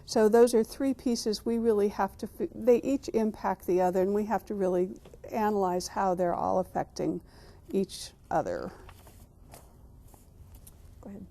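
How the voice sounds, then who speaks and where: Soft, mellow-voiced woman co-presenter, quiet, sticks close to her notes. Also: mid-range voice, unhurried, creaky, long pauses. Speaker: training co-presenter. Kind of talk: presenting a court training session.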